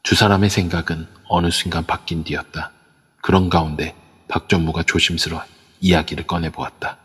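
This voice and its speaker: Deep husky male narrator. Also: flat delivery, brisk, a bit bright, long reverb tail. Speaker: narrator of a live amateur audio drama. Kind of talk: scripted narration.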